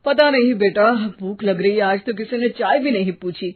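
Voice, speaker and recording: A grumbling old father-in-law in a storybook drama, put on by a woman. He sounds plaintive and hungry, with a husky voice, over a narrow, phone-like recording. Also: medium pitch, moderate pace, voice pushed down, natural voice. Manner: complaining, plaintive. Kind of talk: acted moral story dialogue. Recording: muffled, phone-like narrow band.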